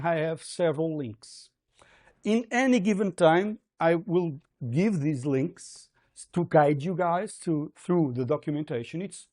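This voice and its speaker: A man's voice: fairly high pitch, a little mellow. A man with a Portuguese accent giving a livestreamed university programming lecture, hesitant with many fillers and frequent "okay?" checks.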